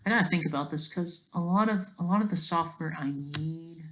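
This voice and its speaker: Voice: medium pitch, brisk, fairly bright tone, a little hiss or hum. A person giving rambling, off-the-cuff commentary as an amateur creator, often breaking off mid-sentence and tagging statements with "right?".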